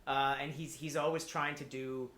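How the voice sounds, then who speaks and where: A man chatting casually on a podcast as a guest, explaining things in a halting, rambling way with repeated words. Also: medium pitch, level tone, fairly bright tone, a little hiss or hum. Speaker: podcast guest pitching a film adaptation. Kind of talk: casual chat. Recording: echoey room.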